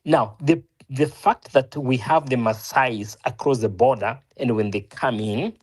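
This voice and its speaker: Kenyan male panel guest, husky, earnest, stop-start delivery. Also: mid-range voice, unhurried, darkish tone. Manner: earnest, many restarts and self-corrections, rising emphasis when arguing. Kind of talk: opinionated discussion.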